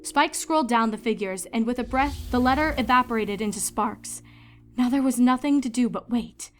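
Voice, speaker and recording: A woman's voice: a little high, normal speed, a little hiss or hum. A woman reading a story aloud as a scripted narrator of fan fiction.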